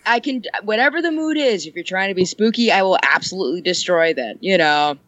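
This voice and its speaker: A woman's voice: medium pitch, lively intonation, brisk, a bit bright, dry recording. Rambling, joking woman, muffled sound. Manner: rambling, joking, laughs often. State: ill. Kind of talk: casual chat.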